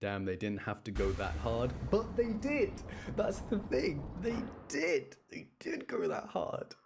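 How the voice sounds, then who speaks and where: A man's voice: a little high, sing-song, a little slow, creaky, noisy background. A man giving casual, exclamatory live commentary on a game and chatting with his audience. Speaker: streamer reacting to a game.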